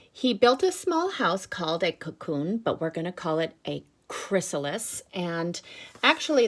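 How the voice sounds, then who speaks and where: Slow-paced woman teacher reading to children. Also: lowish voice. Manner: expressive, drawn-out emphasis when reading; instructive, checks in with listeners. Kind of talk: read-aloud storytelling with explanatory asides for children.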